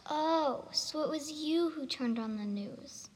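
A stern, practical mother in a homemade radio play acted by a high female-sounding voice. She speaks in a high pitch, with long reverb and noise around her. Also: slow. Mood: stern, disappointed. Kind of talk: amateur radio drama acting.

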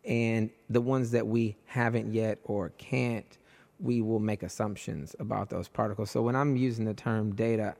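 Hoarse, gravelly man hosting a podcast. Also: lowish voice, moderate pace, in a large hall. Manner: animated, voice jumps up when excited. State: hoarse, voice nearly gone. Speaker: podcast host. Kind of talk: casual conversation.

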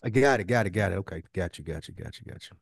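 A man's voice: low-pitched, animated, very fast, vocal fry, no room sound. A man co-hosting a podcast, talking informally as he thinks aloud. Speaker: podcast co-host. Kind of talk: casual chat.

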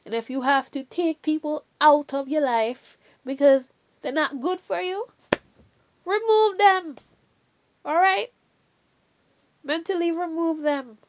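A woman speaking slowly with a Jamaican accent, in a fairly high voice. She gives an earnest faith pep talk, pressing her points home with repetition. Her tone stays chatty and direct, and she checks in with "all right?". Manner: earnest, emphatic, repeats key phrases; chatty, adds "you know" and "all right". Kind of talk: casual motivational faith talk.

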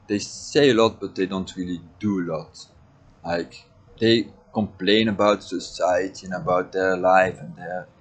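Low-voiced man, hesitant monologue. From Belgium (Flanders). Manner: hesitant, many fillers and restarts. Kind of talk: reflective personal monologue.

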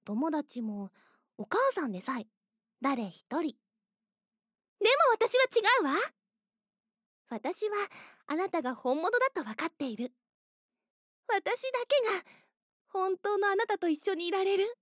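A woman's voice: extremely high pitch, big pitch swings, unhurried, pauses often, no room sound, studio quality, exaggerated voice. A woman playing an obsessive young woman in an audio drama, sweetly menacing and possessively loving. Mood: possessive, coaxing.

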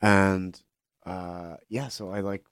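Deep-voiced chef in casual chat. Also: male voice, steady tone, takes it slowly, fairly bright tone, clean tone, dry recording, studio quality. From born in Israel, raised in Pittsburgh. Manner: loose, says like and dude a lot. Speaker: chef, guest. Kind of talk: casual interview.

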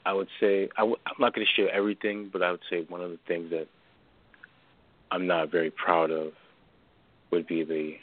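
Nervous first-time caller, male, early twenties, deep voice heard over a phone line. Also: little pitch movement. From New York. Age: 20s. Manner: hesitant, halting, nervous. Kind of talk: call-in radio conversation.

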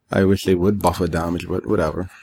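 A man's voice: deep voice, vocal fry, a little mellow, slightly rough. A man chatting casually as a podcast co-host, offhand in manner and slipping into acting out quoted remarks. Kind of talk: casual chat about games and manga.

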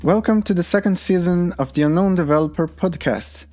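A man's voice: high voice, fairly quick, darkish tone, faint music behind. A man hosting a podcast, asking questions in a friendly, slightly hesitant way. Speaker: podcast host. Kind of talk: casual interview.

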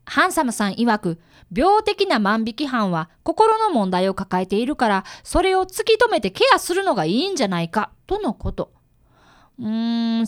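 A polite young boy detective, acted by a woman, high and very animated, recorded close and dry. He explains things earnestly in a youthful radio-drama manner. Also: dry recording. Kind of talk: radio drama acting with first-person narration.

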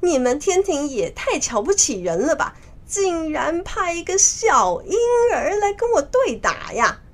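A woman voicing a proud, hot-tempered male monkey king in a children's story, loud, scornful and boastful. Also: on the high side, lively intonation, normal speed, lightly acted. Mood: outraged.

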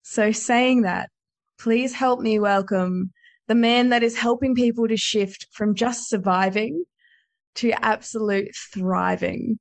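A woman's voice: a little high, slow pace, gravelly, dry recording. A woman hosting a podcast, chatting in an effusive, enthusiastic and casual way. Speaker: podcast host. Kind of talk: casual interview chat.

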